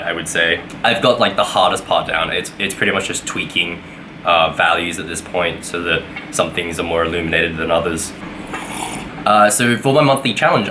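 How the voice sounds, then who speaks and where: A man's voice: low-pitched, bright, forceful tone, slight background noise, poor audio. A young man giving a casual weekly vlog update, rambling with lots of fillers and self-corrections. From Australia. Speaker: vlogger.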